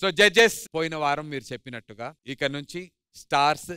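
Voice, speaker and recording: High, fast-talking male show host. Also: animated, sharp tone, clean tone, no echo, clean studio sound, faint music behind. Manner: hypes the stage, mixes English and Telugu. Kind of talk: energetic TV show hosting.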